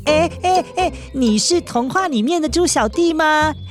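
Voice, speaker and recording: Man playing a high-voiced, wide-eyed storybook boy. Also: expressive, moderate pace, over music. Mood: excited. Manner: exclaiming, full of wonder. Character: excitable, curious, wide-eyed young boy in a fairy tale.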